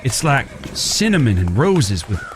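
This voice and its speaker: An actor playing a plain-spoken, smitten cowboy in a Western audio drama, halting and folksy. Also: male voice, medium pitch, sing-song, slight background noise. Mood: dreamy.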